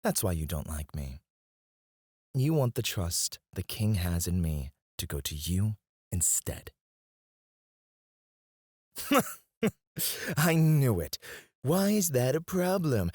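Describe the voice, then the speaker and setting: A man's voice: mid-range voice, big pitch swings, slow, long pauses, no room sound, clean studio sound. A man playing a cocky, disdainful royal alchemist in a scripted fantasy audio roleplay, speaking in a formal, haughty, theatrical way. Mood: taunting, then exasperated. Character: cocky, disdainful male royal alchemist, sharp-witted and proud. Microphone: close, dry studio mic.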